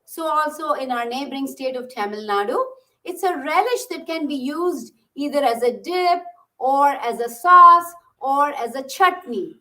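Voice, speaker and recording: A woman from Bangalore explains a recipe step by step in a friendly way. Her voice is high and bright, and it is picked up by a mic set a little away from her. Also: unhurried. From Bangalore, India. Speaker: cooking instructor. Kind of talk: casual cooking demonstration. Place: home kitchen. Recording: distant mic.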